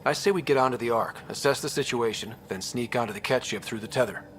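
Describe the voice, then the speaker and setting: An actor playing an earnest young space explorer and leader in a sci-fi game, casual but resolute. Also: male voice, medium pitch, fast, close to an everyday voice. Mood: determined. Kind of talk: cinematic sci-fi game acting. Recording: clear background ambience noise.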